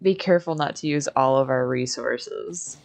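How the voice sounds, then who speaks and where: A woman's voice: a bass voice, moderate pace, vocal fry, a little mellow, soft background music. A woman chatting informally and casually while playing a game on a livestream.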